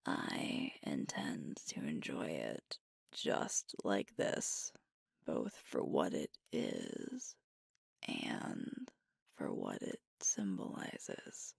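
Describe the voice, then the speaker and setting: A woman playing an affectionate, teasing werewolf girlfriend in an ASMR roleplay, speaking softly and intimately close to the microphone. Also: extremely low pitch, wildly swooping pitch, no echo, heavily acted. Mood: playful teasing. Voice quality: soft, breathy, whispery. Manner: slow, tender, playful, with pauses.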